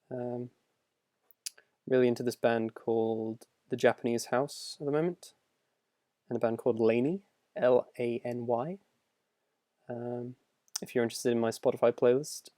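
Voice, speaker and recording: A man's voice: medium pitch, darkish tone, no echo. Young man, close dry sound. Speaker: animator and video creator answering viewer questions. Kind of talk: casual livestream Q&A, reading questions aloud.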